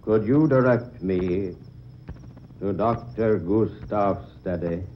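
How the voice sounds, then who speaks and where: A huge prehistoric man, low-voiced and extremely slow. He is halting and oddly courteous, in vintage horror radio style. The sound is dull, with faint noise. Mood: ominously polite. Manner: halting, ponderous, excessively polite. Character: hulking, ape-like, eight-foot revived Neanderthal man, slow and courteous. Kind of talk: 1940s radio horror acting. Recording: dull, faintly noisy old radio recording.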